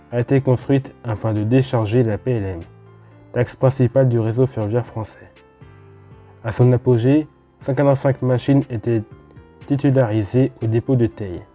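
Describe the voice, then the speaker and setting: A man's voice: medium pitch, dark tone. A man from France narrating a railway history video in a casual explanatory way, with informal asides to the viewer. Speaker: host of a railway history channel.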